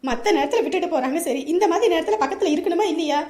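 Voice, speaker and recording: High-voiced, fussing village mother played by a woman. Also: expressive, racing, darkish tone. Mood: fretful grumbling. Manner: fussing, plain-spoken, motherly. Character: protective older village mother who herds goats. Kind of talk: amateur Tamil village family drama. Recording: roomy, echoing sound.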